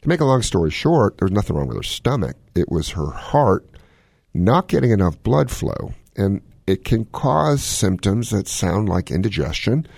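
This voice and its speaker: A man's voice: lowish voice, very animated, normal speed, creaky, a little mellow, breathy edge, dry recording, clean studio sound. A man hosting a radio talk show, giving casual, folksy health advice with plenty of joking. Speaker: medical expert radio host.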